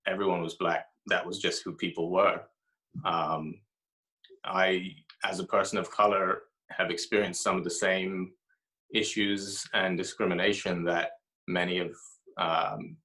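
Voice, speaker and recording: Male author in interview, low pitch with a crisp bright edge, reflective, halting delivery with many fillers. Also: flat delivery, poor audio. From grew up in Haiti and Ethiopia. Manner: reflective, pauses often with fillers and restarts. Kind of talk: thoughtful interview answers.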